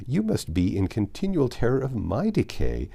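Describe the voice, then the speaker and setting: Period-novel mother, forty, gently teasing , acted by a male reader; mellow, animated, formal delivery. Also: mid-range voice, normal speed, gravelly, breathy edge, pitched above the performer's own voice, exaggerated voice. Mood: amused.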